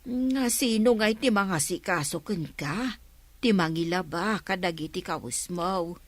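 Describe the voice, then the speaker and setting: A woman's voice: lowish voice, a little slow, dry recording. A woman with an Ilocano accent acting a concerned, questioning middle-aged village mother in a radio drama. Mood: questioning, worried.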